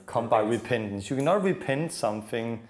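A man's voice: mid-range voice, expressive, fairly quick. A man making short, firm statements, with frequent agreeing interjections, in a seated discussion. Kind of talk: conversational discussion. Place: small room with sofas, indoors. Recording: roomy indoor sound.

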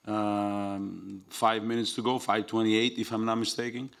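A man in his forties, a head coach speaking at a post-game press conference in a measured, precise way, recalling numbers aloud. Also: lowish voice, flat delivery, vocal fry. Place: press room at a basketball arena. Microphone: table mic on a stand.

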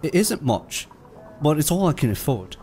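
An actor playing a meek, kindly, poor clerk in an audio drama, humble and deferential in manner. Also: male voice, fairly high pitch, big pitch swings, moderate pace, a little mellow, faint music behind. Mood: humble, eager.

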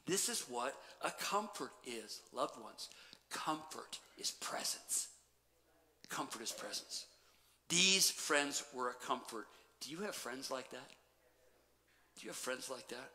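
Bright-voiced pastor preaching in a reverberant sanctuary. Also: male voice, medium pitch. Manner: animated preaching, dropping to quiet emphasis. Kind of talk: sermon. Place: church sanctuary stage. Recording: long church reverb.

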